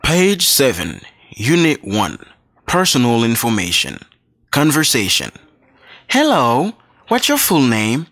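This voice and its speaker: A man reading scripted English dialogues and exercise questions for a learners' audio book, slow and clearly enunciated. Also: mid-range voice, wildly swooping pitch, fairly bright tone, dry recording, clean studio sound. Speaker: textbook audio reader.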